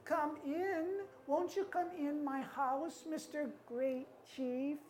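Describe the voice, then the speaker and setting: A woman's voice: high-pitched, fairly even intonation, slow pace, darkish tone, slight background noise, pitched above the performer's own voice. Storyteller as a wise old woman, instructive. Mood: inviting. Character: wise old woman, calmly teaching a proud chief. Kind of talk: live oral storytelling.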